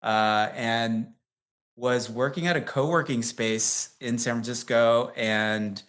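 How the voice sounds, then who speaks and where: A man's voice: mid-range voice, fairly even intonation, slow pace, fairly bright tone. A man in his fifties without an accent, a guest telling long anecdotes in a casual interview, full of fillers like "like" and "um". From United States. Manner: chatty, rambling anecdotes with frequent fillers and laughs. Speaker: guest, tech company CEO.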